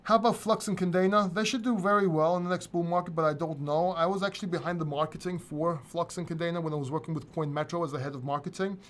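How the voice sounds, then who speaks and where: A man's voice: a little high, fairly even intonation, quick pace, few pauses. A man from Greece hosting a casual live crypto Q&A, reading viewer questions from chat and answering them candidly, sometimes swearing. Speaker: crypto channel host.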